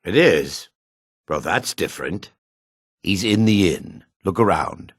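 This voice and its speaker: A hard-edged dark elf, speaking fairly low with a husky grain. He is proud and coolly self-assured, and his pitch moves in a lively way. The fantasy game recording is very dry. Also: slow pace, no room sound, exaggerated voice. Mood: guarded. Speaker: video game voice actor. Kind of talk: fantasy game character lines.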